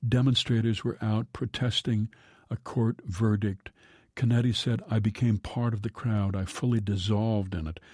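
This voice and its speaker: Male radio host, low husky voice, dry studio sound, unhurried scripted reading. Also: no echo. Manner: calm, measured reading with slow drawn-out line endings.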